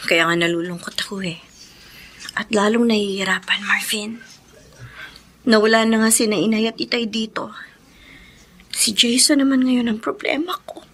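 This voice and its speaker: High, bright, anguished young sister. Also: female voice, fairly even intonation, normal speed, long pauses. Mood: sorrowful, hesitant. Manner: tearful, pleading, sighing, breathy whispers in distress. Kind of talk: Filipino radio drama acting.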